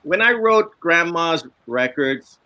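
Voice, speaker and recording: A man on a book panel telling personal anecdotes in a relaxed way, acting out the voices of people he quotes. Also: on the high side, slow, no room sound, dull audio. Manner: relaxed storyteller, acts out quoted dialogue. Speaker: author and illustrator on a book panel; teaches a children's book class.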